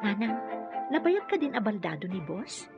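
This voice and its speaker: A woman's voice: medium pitch, big pitch swings, moderate pace, creaky, dull audio, over loud music. An actress playing an earnest, polite nurse and single mother in a radio drama, slightly hesitant and questioning, with a Filipino accent. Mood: curious. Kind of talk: Ilocano radio drama acting.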